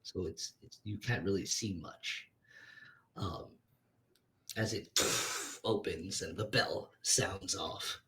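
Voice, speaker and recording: Male game master, deep-ish voice, slow and halting descriptions. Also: pushed and bright, a little hiss or hum, low-quality recording. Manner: hesitant, searching for words while describing scenes. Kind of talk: narrating a tabletop game.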